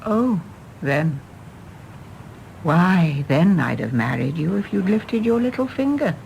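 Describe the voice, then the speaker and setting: An actress playing a wistful, wry middle-aged Englishwoman in a radio play, speaking in a measured, genteel English accent. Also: fairly low pitch, sing-song, creaky, darkish tone, heavily acted. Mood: wistful, regretful. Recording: faint background noise and ambience.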